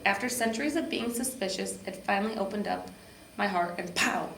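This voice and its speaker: A woman narrates a children's storybook in an expressive way. Her pitch is average. The room is echoey, with a little noise behind her. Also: fairly quick, gravelly. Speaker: park district program supervisor reading to children.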